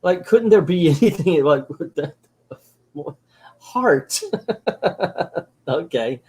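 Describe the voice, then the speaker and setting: Comic reviewer guy, casual and sarcastic, kinda higher voice. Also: animated, very slow, a little mellow, slightly rough. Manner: wry, sarcastic asides. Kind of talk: casual commentary reading a comic aloud.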